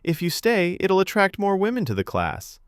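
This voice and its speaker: A man's voice: high voice, wildly swooping pitch, normal speed, no room sound, clean studio sound, voice pushed up. A man voicing a bold, goading middle-aged woman instructor who calls out teasingly in an audio story.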